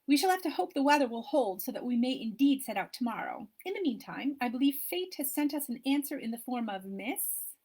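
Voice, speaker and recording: A measured, self-assured Regency gentleman speaking in formal sentences, as a woman reads him aloud. The voice dips a little lower than the reader's own and carries a soft huskiness. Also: expressive.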